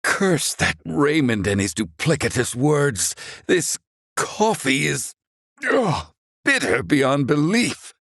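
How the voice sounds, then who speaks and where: A man acting a proud, gruff, middle-aged inventor in a fantasy video game, with formal, haughty, theatrical diction. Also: a little high, very animated, takes it slowly, a bit bright, no room sound, studio quality, heavily acted. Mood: stern, scornful, then intrigued.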